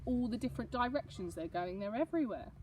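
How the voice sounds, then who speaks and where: A woman's voice: a little high, lively intonation, fast, warm tone, distant mic, a little hiss or hum. A woman reading a picture book to young children, expressively, with explanatory asides and questions for the listeners. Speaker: storybook reader for young children.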